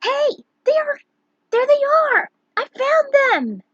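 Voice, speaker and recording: A little girl in a story reading, pitched very high and shrill. She is excitable and bursts out with her words. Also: takes it slowly, no room sound, highs cut off, full character voice. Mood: excited.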